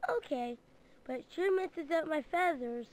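A woman voicing an earnest, unsure baby bird in an animated storybook game, sounding puzzled over faint background ambience. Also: sits high, measured pace.

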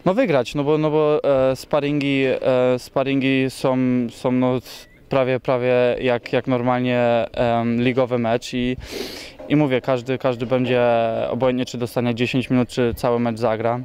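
A young man, a football player, giving an interview outdoors at a training ground, halting and full of fillers and repeated words. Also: medium pitch, flat delivery, a little mellow, slight background noise. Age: young adult.

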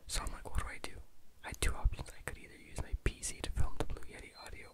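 A young adult ASMR host whispering casually in a rambling, slangy storytelling style, close to the microphone. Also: fast talker. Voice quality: unvoiced whisper.

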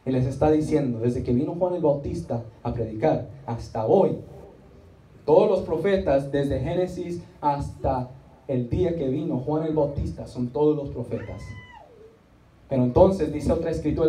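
A man's voice: on the high side, dark tone. Emphatic male preacher in a roomy space. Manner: emphatic, drawing out key words, pausing for congregation responses. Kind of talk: Spanish-language church sermon with rhetorical repetition and acted asides. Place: small church meeting room, indoors. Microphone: handheld microphone, recorded from the seats. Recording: roomy, distant audience recording with faint noise.

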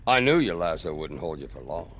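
A man's voice: low-pitched, sing-song, fairly quick, heavy background noise. An actor playing an arrogant male mercenary in a video game, speaking in a smug, taunting way. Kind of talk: video game cutscene acting. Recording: loud game music behind.

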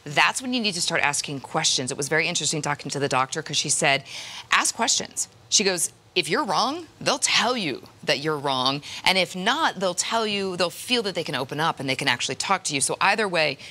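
Low-voiced female news reporter. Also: animated, fairly quick, bright, forceful tone. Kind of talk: news report and interview questions.

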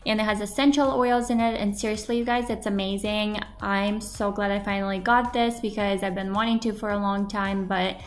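A woman's voice: mid-range voice, fairly even intonation, brisk, hardly any pauses, slight background noise. A young woman vlogger talking casually about cleaning and the products she likes, upbeat and enthusiastic. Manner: enthusiastic, chatty, recommending. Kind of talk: casual voice-over product talk. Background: background pop music.

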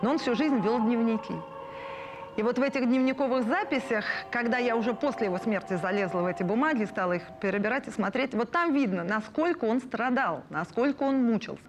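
A woman's voice: a little high, a little hiss or hum. A middle-aged woman giving personal reminiscences in a documentary interview. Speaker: artist, interviewee. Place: indoor room among puppets. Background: music.

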